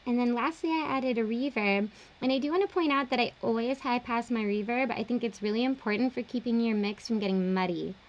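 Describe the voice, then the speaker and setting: A young woman producer casually walking through her vocal processing in a home studio, relaxed and chatty with plenty of fillers. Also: fairly high pitch, fairly quick, dull audio. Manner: relaxed, explanatory, uses fillers like um and like. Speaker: music producer. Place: small home studio indoors.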